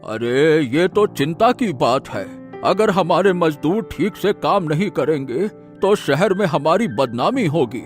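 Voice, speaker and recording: Man playing slow, kindly old factory owner. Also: on the high side, lively intonation. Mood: worried. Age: older. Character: kindly, trusting, elderly male factory owner. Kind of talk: cartoon moral-story voice acting. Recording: music behind the voice.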